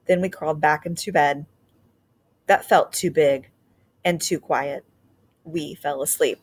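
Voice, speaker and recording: A woman reading a children's picture book aloud like a teacher, with expressive storytelling and deliberate, drawn-out phrasing. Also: fairly low pitch.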